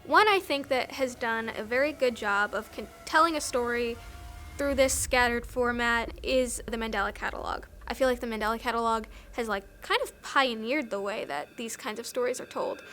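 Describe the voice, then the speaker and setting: A woman's voice: a little high, moderate pace, bright, forceful tone, soft background music. A woman talking casually and enthusiastically in a conversational, interview-style commentary. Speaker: documentary interviewee, filmmaker.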